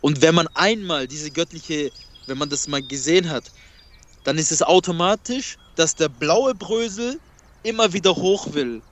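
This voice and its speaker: A man's voice: on the high side, unhurried, fairly bright tone, slight background noise. A man whose first language is Kurdish, talking emphatically and repeating himself in a casual spiritual conversation.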